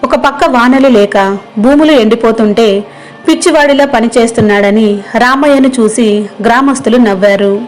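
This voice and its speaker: A woman's voice: on the high side, moderate pace, poor audio. A woman storyteller reading a scripted moral tale as narrator.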